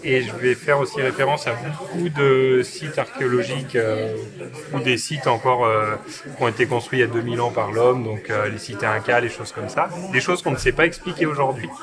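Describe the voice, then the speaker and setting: A man from the Chartreuse in a mid-range voice earnestly lays out the ideas behind his first book. The chatter of a crowd at a book fair is clearly heard behind him. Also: medium pitch, fairly even intonation, a little fast. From Chartreuse, France. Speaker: author. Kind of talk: casual interview. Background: crowd of book fair visitors.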